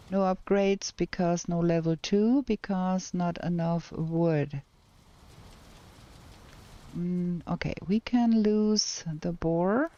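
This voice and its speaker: Slow-talking woman with a German accent. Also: lowish voice, warm tone, muffled recording. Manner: talks to herself and chat while playing, thinking aloud. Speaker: streamer. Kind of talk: casual gameplay commentary.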